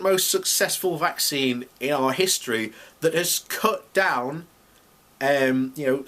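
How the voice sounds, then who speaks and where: Slow-paced, emphatic man, higher-pitched voice. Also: a bit bright. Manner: emphatic, indignant, repeats himself for emphasis. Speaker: video blogger. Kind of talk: unscripted opinionated commentary.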